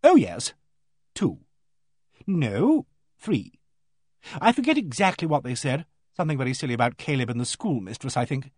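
A man's voice: medium pitch, very animated, moderate pace, pauses often, husky, no room sound, clean studio sound. A man voicing an eccentric, forthright vicar's wife in a storybook, with an abrupt, emphatic and earnest yet vague manner. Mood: blithe, vague. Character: eccentric, forthright, absent-minded vicar's wife of uncanny knowledge.

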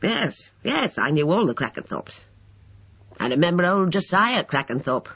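A male narrator playing an aged, irritable country doctor with a gruff, dismissive manner, on a dull lo-fi recording. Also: sits high, very animated, moderate pace, a bit bright, no echo, voice pushed up, heavily acted.

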